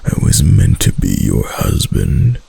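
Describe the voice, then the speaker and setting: A man playing a doting, possessive kitsune husband in an intimate roleplay ASMR, with a soft, husky, lingering murmur. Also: wildly swooping pitch, measured pace, heavily acted. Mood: adoring. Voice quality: very deep, husky, gravelly.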